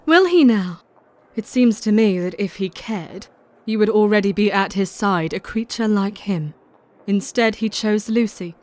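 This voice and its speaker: Composed young Victorian heroine played by a woman, mid-pitched, formal and steely, for gothic radio play. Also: animated, measured pace, darkish tone, lightly acted. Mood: steely, cutting. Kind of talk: audio drama acting.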